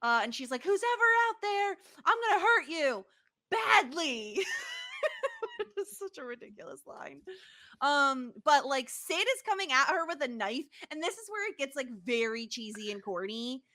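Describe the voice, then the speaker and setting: A woman with a fairly high, crisp-edged and bright voice co-hosts a casual recap podcast. She talks informally and conversationally, with a giggly streak. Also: big pitch swings, normal speed. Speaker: podcast co-host. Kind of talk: casual episode recap chat.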